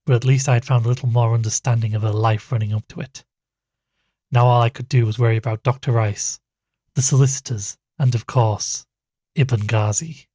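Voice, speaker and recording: A man's voice: medium pitch, steady tone, moderate pace, warm tone, no echo, lightly acted. A man playing an anxious, earnest amateur investigator in an audio drama, speaking with a hesitant, worried manner. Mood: brooding, worried. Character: uneasy male amateur investigator recording his findings, anxious, earnest. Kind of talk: audio drama dialogue and first-person narration.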